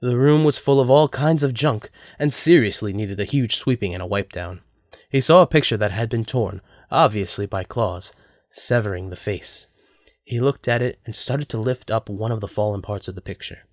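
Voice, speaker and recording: A man's voice: on the low side, normal speed, no echo. Male story narrator, dry recording.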